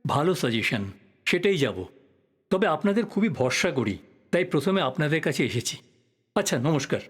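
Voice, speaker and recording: An actor playing an earnest, persistent investigative journalist in an audio drama, polite in manner. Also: male voice, fairly high pitch, big pitch swings, moderate pace, husky.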